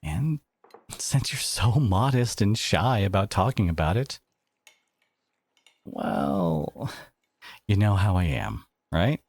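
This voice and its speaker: A man acting a devoted, protective boyfriend in an audio roleplay, speaking in an earnest, halting, conversational way with an edge of sarcasm. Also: on the low side, lively intonation, a little mellow, husky, dry recording, full character voice. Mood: proud, tender. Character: devoted, protective boyfriend confronting his partner's family, adult man.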